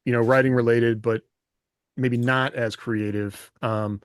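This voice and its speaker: A man's voice: mid-range voice, fairly even intonation, unhurried, clear, resonant tone, dry recording. A man co-hosting a podcast in a casual, roundabout way, as if thinking aloud. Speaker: podcast co-host and writer.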